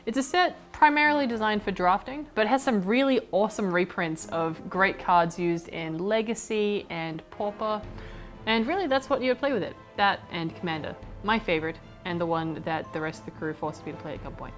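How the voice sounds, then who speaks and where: A woman's voice: mid-range voice, lively intonation, brisk, soft background music. A woman presenting a game product in an upbeat introduction for a gaming show.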